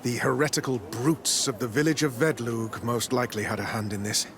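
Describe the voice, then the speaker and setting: An actor playing a zealous, smooth-talking priest in a video game, formal, preachy and condescending. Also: male voice, mid-range voice, fairly quick, a bit bright, slight background noise, close to an everyday voice. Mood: disdainful. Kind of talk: scripted video game dialogue.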